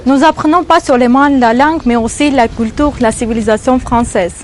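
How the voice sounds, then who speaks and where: High-voiced woman in her twenties. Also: a little fast, darkish tone, slight background noise. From Armenia. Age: 20s. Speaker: doctor's assistant.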